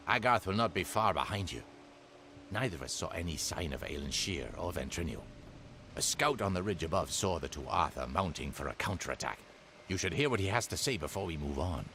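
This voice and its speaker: Low-pitched businesslike male soldier. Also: big pitch swings, moderate pace, a bit bright, a little hiss or hum, exaggerated voice. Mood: businesslike, pressing. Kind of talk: dramatic video game dialogue.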